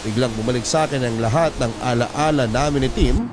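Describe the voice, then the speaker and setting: A man's voice: mid-range voice, a little fast, noisy background. A man narrating a sentimental story in a solemn, dramatic scripted style, as a host reading a letter. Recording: loud music bed behind voice.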